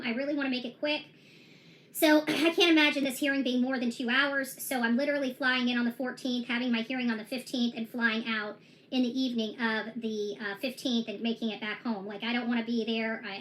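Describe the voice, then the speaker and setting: A woman's voice: a little high, a bit bright, a little hiss or hum, rough recording. A woman giving a casual vlog update on her own lawsuit, rambling and emphatic with frequent restarts and self-corrections. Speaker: self-represented plaintiff giving a case update.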